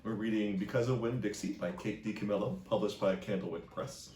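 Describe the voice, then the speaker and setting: A man's voice: on the low side, steady tone, fairly quick, a little mellow. A man reading aloud as a library storyteller, in a storybook narration style. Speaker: library reader. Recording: echoey room, distant microphone.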